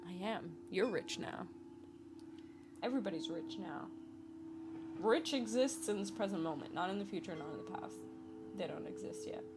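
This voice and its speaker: A woman's voice: medium pitch, lively intonation, normal speed, long pauses. Twenty-something woman chatting solo on her podcast, playful and rambly, laughs a lot, music going behind her. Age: 20s. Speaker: podcast host. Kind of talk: casual solo podcast monologue.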